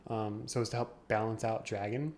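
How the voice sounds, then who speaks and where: A man's voice: lowish voice, fairly even intonation, normal speed, darkish tone. A young man co-hosting a podcast, chatting casually, laughing often and explaining game rules. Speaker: podcast co-host. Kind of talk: casual chat.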